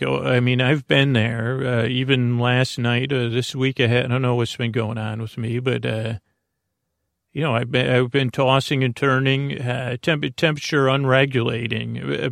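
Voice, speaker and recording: An American man hosting a bedtime podcast, rambling along in a halting, meandering way and often trailing off mid-thought. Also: medium pitch, gravelly, fairly bright tone, dry recording, studio quality. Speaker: host of a bedtime story podcast.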